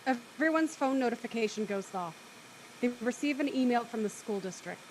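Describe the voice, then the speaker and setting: A woman's voice: a little high, animated, moderate pace, a little hiss or hum, low-quality recording. A woman reading stage directions as the narrator of a live scripted table read.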